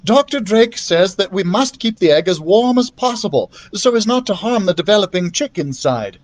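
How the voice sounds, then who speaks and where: An earnest storybook girl, voiced by a man, pitched well up. She sounds light and gentle, calm in the way she explains things. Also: normal speed. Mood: reassuring.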